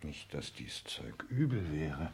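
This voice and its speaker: Scheming suitor, self-important and brooding, low slow voice, deliberate radio drama monologue. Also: male voice, very animated, creaky, a little hiss or hum, exaggerated voice. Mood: scornful, envious. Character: self-important male social climber, calculating and romantically scheming. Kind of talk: 1960s German radio play monologue.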